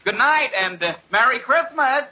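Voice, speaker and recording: A sheepish, lisping crook, posing as Santa in a high voice with big swings in pitch. The 1940s radio recording is dull. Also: male voice, lively intonation, moderate pace. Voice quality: lisp.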